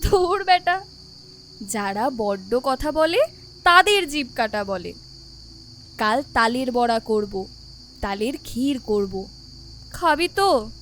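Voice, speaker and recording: High-voiced motherly village housewife. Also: big pitch swings, takes it slowly, long pauses, fairly bright tone, a little hiss or hum, heavily acted. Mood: teasing, affectionate. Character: kindly, motherly village housewife, affectionate and teasing. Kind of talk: audio story drama acting.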